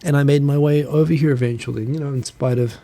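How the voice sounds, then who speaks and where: Man, New Zealand accent, dark mellow tone, rambling self-deprecating chat, close handheld mic. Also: mid-range voice, steady tone, creaky. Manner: rambling, self-deprecating, talks fast with restarts. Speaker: livestream host. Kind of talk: casual solo live chat. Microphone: handheld USB recorder mic close. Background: computer fan hum.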